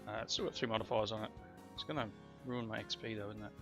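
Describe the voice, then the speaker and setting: Gravelly man with a cold, gaming commentary. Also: on the low side, fairly even intonation, fast talker. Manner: rambling, self-correcting, chatty. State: coming down with a cold. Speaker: let's play host. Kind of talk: casual gameplay commentary. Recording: slightly dull lo-fi recording. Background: faint background music.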